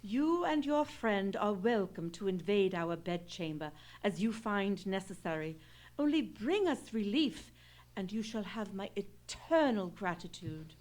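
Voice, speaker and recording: A woman's voice: mid-range voice, very animated, measured pace, a little mellow. A woman playing an anxious, eloquent English aristocratic lady in an old-time radio play, speaking in formal, theatrical period diction. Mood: gracious.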